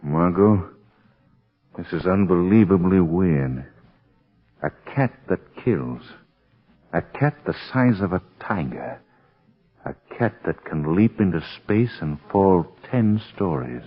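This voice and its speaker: Wealthy, confident American male sleuth for old radio drama, husky edge, deliberate and theatrical, dull vintage recording. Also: deep voice, lively intonation, heavily acted. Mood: grave, resolute. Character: suave, self-assured wealthy amateur detective, teasing and determined. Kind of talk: 1930s radio drama acting. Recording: muffled, rough 1930s radio recording.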